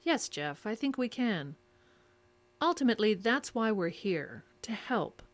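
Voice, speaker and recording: A woman playing a composed, cryptic interrogator in a horror audio drama, measured and evasive. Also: medium pitch, very animated. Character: cryptic, composed female interrogator offering help.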